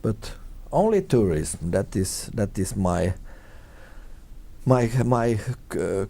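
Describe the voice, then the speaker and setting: A man's voice: on the low side, big pitch swings, vocal fry, dark tone. A middle-aged man from Finland whose first language is Swedish, speaking English as an interview guest with frequent repeats and restarts. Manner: halting, many repeated words and restarts. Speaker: guest, tourism consultant. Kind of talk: conversational interview answers.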